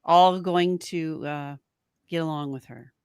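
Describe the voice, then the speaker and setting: Low-voiced, musing woman co-host. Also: steady tone, slow, a little mellow, clean tone, dry recording, studio quality. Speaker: podcast co-host. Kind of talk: casual live-stream chat.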